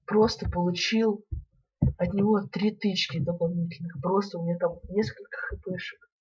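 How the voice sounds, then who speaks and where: A young man casually commenting on a game he plays, slightly ill and clearing his throat, mostly muttering to himself. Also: sits high, moderate pace. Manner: mutters to himself while playing, then talks excitedly to viewers. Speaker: gaming channel host. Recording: dull lo-fi mic.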